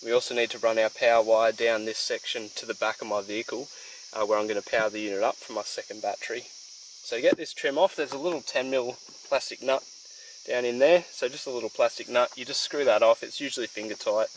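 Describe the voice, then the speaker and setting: A young man hosting a casual do-it-yourself car demonstration, talking in a relaxed, chatty way with plenty of "um" and "so". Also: medium pitch, brisk, rough recording. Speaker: DIY car channel host. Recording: outdoor ambience with insect noise.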